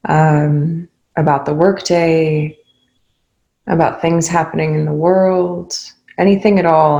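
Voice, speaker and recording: A woman guides a meditation in a low voice with very little melodic movement. Her delivery is calm and unhurried, with creaky fry in the tone. She leaves long pauses between short, gentle instructions. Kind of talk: guided meditation, spoken softly to listeners.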